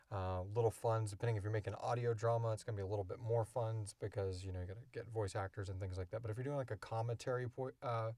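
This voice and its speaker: A man's voice: on the low side, darkish tone, dry recording. A man hosting a podcast, answering questions in a casual, informal, rambling way. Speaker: podcast host and audio drama creator.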